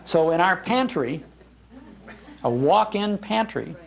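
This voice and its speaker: An older man hosting a live talk, telling nostalgic stories in an animated, emphatic way. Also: high-pitched, slow, vocal fry. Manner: animated storyteller, emphatic repetition. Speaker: radio show host giving a talk. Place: public library talk before an audience.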